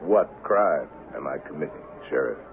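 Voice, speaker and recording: An actor playing a patient stranger bent on revenge, calm, measured and quietly threatening, in old-time radio drama style. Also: male voice, extremely low pitch. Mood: cool, unbothered. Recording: muffled, hissy vintage radio recording.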